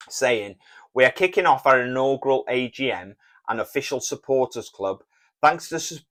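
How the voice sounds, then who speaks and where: A Welsh man co-hosting a casual podcast, talking in a relaxed, plain way and reading out listener messages. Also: mid-range voice. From Wales. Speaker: podcast co-host.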